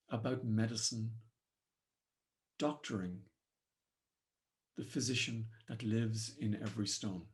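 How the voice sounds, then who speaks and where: An Irish man with an Irish accent leading a guided meditation in measured, solemn, poetic phrases. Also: mid-range voice. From Ireland.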